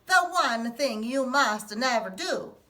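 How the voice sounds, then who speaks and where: A kindly old village witch from a children's storybook, as a woman reading aloud puts her on. She speaks in an Italian-flavoured lilt, slow and deliberate, in a bright, sharp tone, and her chants come out sing-song. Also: on the high side, expressive, heavily acted. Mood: instructing. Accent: put-on Italian.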